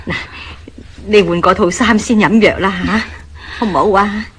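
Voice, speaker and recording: A woman's voice: medium pitch, normal speed, lightly acted. A woman with a Hong Kong Cantonese accent playing an outwardly solicitous, scheming woman in a radio drama, coaxing and exclamatory. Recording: loud music under the dialogue.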